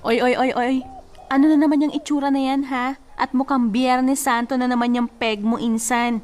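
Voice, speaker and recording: An actress playing a blunt, bossy female cousin in a radio drama, teasing and scolding with a sharp tongue. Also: sits high, level tone, moderate pace, natural voice.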